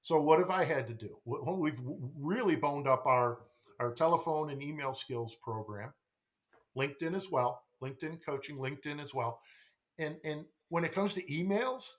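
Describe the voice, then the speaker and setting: A man's voice: fairly high pitch, normal speed. A middle-aged man talking as a podcast guest and sales coach, emphatic, repeating phrases and posing rhetorical questions. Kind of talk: conversational podcast interview.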